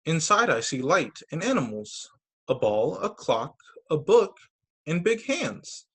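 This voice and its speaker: A man reading children's picture books aloud as a story time teacher, in an expressive, sing-song storytelling manner with short asides, over a video call. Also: on the high side, measured pace, breathy edge.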